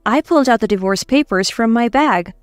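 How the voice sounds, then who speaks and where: Woman narrating a drama story, high voice, very expressive, dry recording, faint music. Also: fairly quick, no echo. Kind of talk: scripted first-person story narration.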